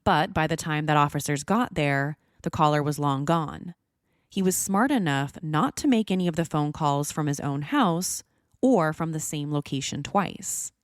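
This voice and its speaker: A woman's voice: sits low, animated, moderate pace, no room sound, studio quality. A woman hosting a podcast, reading scripted true-crime narration. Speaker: podcast host.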